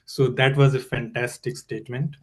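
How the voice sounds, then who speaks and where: A man leading an online support group meeting, speaking slowly with pauses as he asks his questions. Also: medium pitch, little pitch movement. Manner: slow, with pauses between words. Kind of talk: casual online meeting talk.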